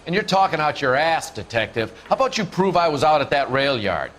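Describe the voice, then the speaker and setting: An actor playing an evasive, defiant lowlife crime suspect in a video game, defensive and slangy. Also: male voice, sing-song, a little fast, a bit bright, soft background music. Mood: defiant, grudging. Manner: defensive, slangy, hesitant when cornered. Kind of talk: dramatic video game acting.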